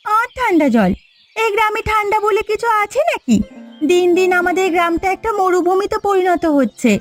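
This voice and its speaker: Cartoon village wife female actor, high-pitched, discontented and covetous, faint music behind. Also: animated, moderate pace. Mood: complaining. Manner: complaining. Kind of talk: Bengali fairy-tale cartoon dialogue.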